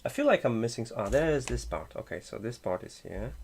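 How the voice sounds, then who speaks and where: Guy thinking out loud while building something, gravelly low-key voice, slightly broken english. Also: expressive, fast, a little hiss or hum. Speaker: maker streamer. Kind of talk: casual live-stream chat while building.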